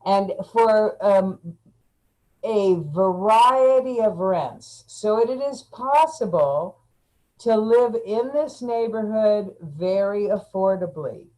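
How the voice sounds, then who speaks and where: A woman guest chatting casually, halting often with fillers. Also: mid-range voice, lively intonation, a little mellow, low-quality recording. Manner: slow, halting, frequent fillers. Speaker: interview guest. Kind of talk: casual online chat.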